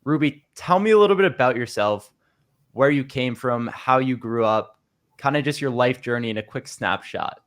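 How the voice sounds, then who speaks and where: A man's voice: mid-range voice, normal speed, fairly bright tone. A man hosting a conversational interview, introducing his guest and asking questions. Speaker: interview host.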